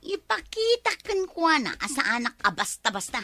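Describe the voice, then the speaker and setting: A woman acting a nosy, excitable village gossip in an Ilocano radio comedy drama, exclamatory, whiny and melodramatic. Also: sits high, moderate pace, soft background music, heavily acted. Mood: indignant.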